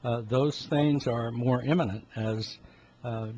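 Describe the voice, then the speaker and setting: A man in his seventies giving an informal health talk in a halting, rambling way, often clearing his throat. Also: medium pitch, steady tone, darkish tone. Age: 70s. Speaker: health talk host, medical practitioner. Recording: dull lo-fi sound with background noise.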